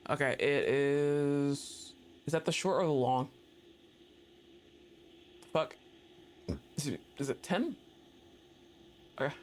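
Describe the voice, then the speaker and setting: A man streaming a game live, reacting loudly, swearing, laughing often and talking to the game. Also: fairly high pitch, a little hiss or hum. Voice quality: excitable, profane, quick to laugh, talks to the game. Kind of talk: live gameplay commentary.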